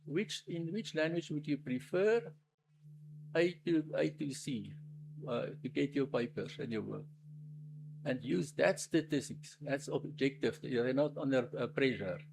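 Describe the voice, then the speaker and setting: A man whose first language is Afrikaans, speaking with a South African accent, gives a formal submission as an attorney at a public hearing. He talks haltingly, often restarting and searching for the next word. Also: mid-range voice, vocal fry, rough recording.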